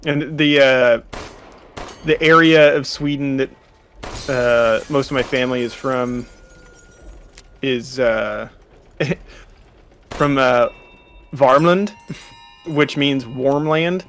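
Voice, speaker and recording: A man's voice: medium pitch, fairly even intonation, slow pace, long pauses, fairly bright tone, slight background noise. A man in his thirties from California, chatting casually about a game in an easygoing way, full of fillers. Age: 30s. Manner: easygoing, filler-heavy, chuckles often. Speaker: co-host watching a game. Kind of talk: casual chat while gaming.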